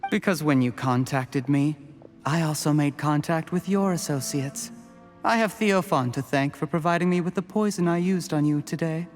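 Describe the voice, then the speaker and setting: An actor playing a scheming male official who calmly gloats over his victim, with a menacing, measured delivery. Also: a little high, soft background music, lightly acted. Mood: smug. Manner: calm, menacing, drops to a hushed whisper. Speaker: game character.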